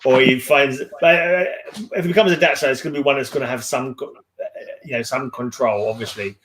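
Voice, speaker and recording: Man, co-host, average pitch, loose conversational delivery that rambles and repeats. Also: a little fast, fairly bright tone, a little hiss or hum. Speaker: co-host of a book discussion show. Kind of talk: casual chat.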